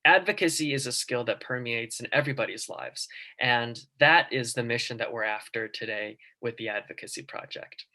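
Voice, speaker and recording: A man's voice: medium pitch, moderate pace, bright, forceful tone. A young man giving a class presentation over a video call, telling a personal story earnestly with frequent ums. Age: young adult. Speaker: student guest presenter.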